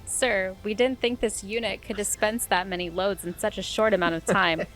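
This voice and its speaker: A woman voicing a male police officer in a comic script reading, with a quiet, deadpan delivery. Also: mid-range voice, moderate pace, soft background music, lightly acted, poor audio.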